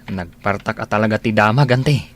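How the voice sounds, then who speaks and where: Man acting low-voiced, earnest, meek bachelor. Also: brisk, natural voice. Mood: defensive. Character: earnest, meek middle-aged bachelor in love. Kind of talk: radio drama acting.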